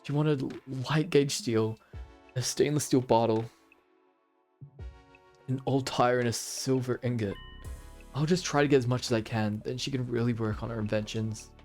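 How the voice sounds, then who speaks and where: A calm young male student hero from a game, voiced by a man, talking over his plans in a level, matter-of-fact way. His voice sits in a middle range. Faint music plays beneath him. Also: medium pitch, normal speed, long pauses, natural voice. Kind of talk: game voice acting.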